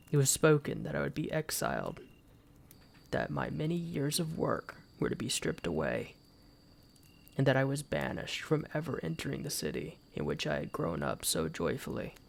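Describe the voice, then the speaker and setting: Casting: male actor as proud, wounded exiled knight for fantasy audio roleplay; very creaky voice, unhurried, archaic formal delivery. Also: mid-range voice. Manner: formal, archaic, solemn storytelling with dramatic pauses. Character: embittered exiled knight, wounded pride, grieving for his mother. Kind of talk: first-person fantasy roleplay audio drama.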